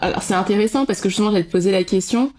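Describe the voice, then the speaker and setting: A woman's voice: mid-range voice, racing, darkish tone, faint music behind. A woman in her thirties hosting a podcast, reading a scripted intro and then interviewing her guest in a friendly, enthusiastic way.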